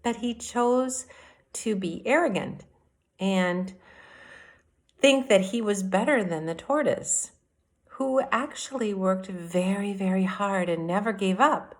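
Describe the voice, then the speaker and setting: A woman teacher reading aloud and explaining to young children in a deliberate, expressive way, speaking to them directly. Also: medium pitch. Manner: deliberate, addresses children directly with questions and asides. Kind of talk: expressive children's read-aloud and mini lesson.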